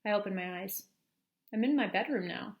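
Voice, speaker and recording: A woman's voice: medium pitch, normal speed, lightly acted. A woman voicing an eager, money-loving eleven-year-old girl who narrates a storybook earnestly and with dramatic emphasis. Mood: dreamy, excited. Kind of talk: storybook first-person narration.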